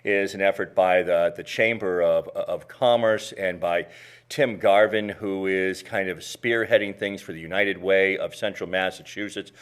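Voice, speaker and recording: A man co-hosts a talk show and introduces the segment. He speaks haltingly and often rephrases himself. His voice is low. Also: fairly even intonation, clear, resonant tone. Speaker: co-host.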